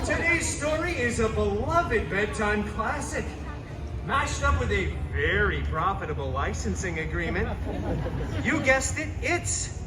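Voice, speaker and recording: An actor playing a cheeky, chatty superhero who tells a comic story to a live crowd, theatrical and sardonic with teasing asides. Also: male voice, sits high, big pitch swings, unhurried. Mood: playful, sardonic. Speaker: costumed theme park storyteller. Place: live outdoor theme park stage. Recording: echoey park PA, loud music and crowd.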